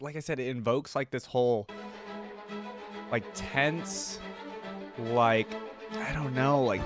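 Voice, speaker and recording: Chatty man reacting over faint music. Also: medium pitch. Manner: chatty, rambling, many fillers and restarts. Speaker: reaction video host. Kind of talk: casual reaction commentary. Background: faint music playing.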